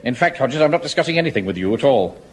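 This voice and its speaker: An actor playing a pompous, indignant middle-aged army captain in a radio sitcom. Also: male voice, mid-range voice, lively intonation, fast, natural voice. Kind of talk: 1970s radio sitcom acting. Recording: old broadcast with faint hiss.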